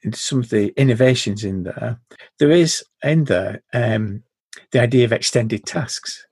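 A man hosting a podcast, talking in a dry, measured, deadpan way and reading game rules aloud. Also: mid-range voice, slightly rough, no echo. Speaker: podcast host.

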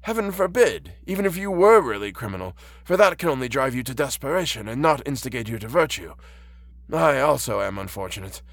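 A man's voice: medium pitch, gravelly, a bit bright. A man voicing a kindly, blind old exile in an audiobook, with a courteous, formal way of speaking. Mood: kindly, reassuring.

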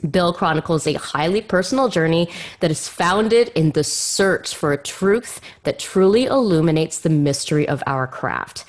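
A woman's voice: on the low side, expressive, moderate pace. A woman hosting a podcast, speaking with effusive emphasis and dramatic phrasing. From Canada. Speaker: podcast host. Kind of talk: scripted podcast introduction and interview.

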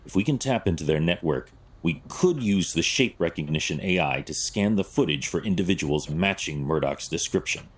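An actor playing a stern, analytical vigilante detective in an audio drama, terse and clinical, with a gravelly voice. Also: male voice, low-pitched, lively intonation, normal speed, hardly any pauses. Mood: stern, decisive.

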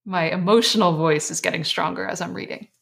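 Woman guest author, slightly hoarse, lower pitch, thoughtful. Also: a little fast, a bit bright. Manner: thoughtful, earnest, reflective. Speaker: author and life coach guest. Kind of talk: casual interview chat.

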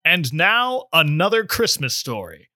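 A man's voice: on the high side, big pitch swings, measured pace, bright, forceful tone, dry recording. A man, a podcaster, reading in a scripted storytelling style. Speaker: podcaster, guest reader. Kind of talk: podcast promo and audiobook-style narration.

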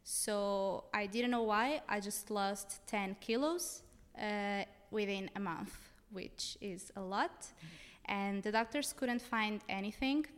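A woman's voice: medium pitch, takes it slowly, clear, resonant tone. A young woman from Portugal with a Portuguese accent, telling her story casually as a podcast guest. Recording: reverberant room with long echo.

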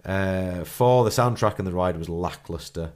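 A man's voice: low-pitched, unhurried, a little mellow. A man hosting a podcast with a blocked nose from a cold, reading a listener's trip report aloud and chuckling often. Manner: chuckles often, clears throat. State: congested, stuffy nose from a cold. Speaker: podcast host.

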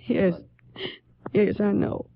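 A woman playing a distraught, newly widowed mother in a 1950s radio drama, halting and pleading, near tears. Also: slight background noise, lightly acted. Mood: grieving, distraught. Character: grief-stricken newly widowed mother in her forties. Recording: thin phone-like vintage radio sound.

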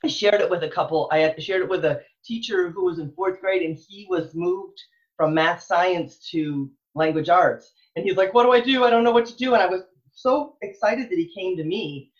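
A woman's voice: on the low side, moderate pace, lo-fi sound. A middle-aged American woman talking enthusiastically in an interview, earnest and emphatic, often repeating phrases as she goes. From Texas, United States. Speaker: elementary school librarian, interview guest.

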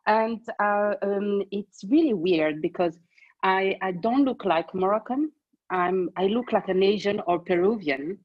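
A woman's voice: mid-range voice, a little slow, no room sound. Cheerful woman, lo-fi line. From France. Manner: cheerful, chatty, laughs easily. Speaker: panel guest. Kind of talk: casual chat. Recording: dull lo-fi dry line.

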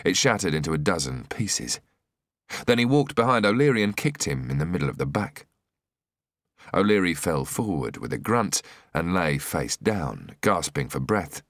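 Deep male thriller audiobook narrator. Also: very animated, normal speed, a bit bright, no room sound, studio quality. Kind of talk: scripted dramatic thriller narration.

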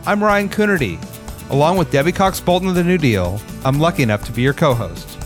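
A man co-hosting a podcast, reading a scripted intro and then chatting in a friendly, relaxed way. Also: a little high, brisk, over music. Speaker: podcast co-host.

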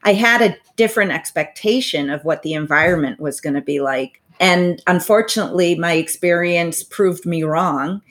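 Low-voiced woman, thoughtful and measured. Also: a bit bright. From United States, parents from Bolivia. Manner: thoughtful, measured, with frequent "you know" asides. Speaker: financial advisor, interview guest. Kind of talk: reflective interview answers.

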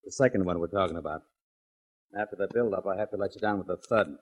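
A grumbling insurance executive in a 1940s radio serial. His voice is low and rough, with the pained air of a put-upon boss. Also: fast talker. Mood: weary, grumbling.

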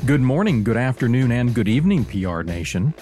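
A man hosting a casual podcast. His mid-range voice moves around a lot in pitch, and he talks in a teasing, theatrical way. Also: very animated, fairly quick, music in the background. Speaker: podcast host. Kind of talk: playful casual banter.

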